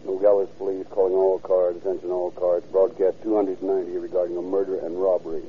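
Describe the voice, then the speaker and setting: A male actor voices a 1930s police radio dispatcher with a very low, monotone delivery. The bulletin is clipped and official, heard through a dull recording with some noise. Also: fast talker, natural voice. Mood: flat, official. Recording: muffled, noisy old 1930s radio recording.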